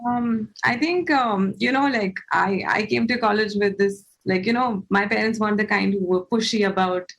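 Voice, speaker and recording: A woman's voice: medium pitch, normal speed, few pauses, poor audio. A young woman from India on a panel, frank, peppered with "like" and "you know". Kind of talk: casual panel talk.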